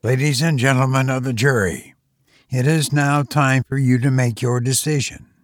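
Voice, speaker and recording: A man's voice: mid-range voice, steady tone, moderate pace, no room sound, clean studio sound, close to an everyday voice. A man voicing a formal, impartial judge in an audiobook, giving jury instructions with procedural authority.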